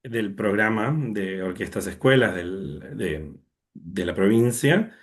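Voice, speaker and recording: A man's voice: fairly low pitch, animated, takes it slowly. A young man from Argentina with an Argentine accent, a conductor telling his story in an interview over a video call, thoughtful and full of fillers. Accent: Argentine Spanish. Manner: thoughtful, many fillers and restarts. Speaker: orchestra conductor, guest.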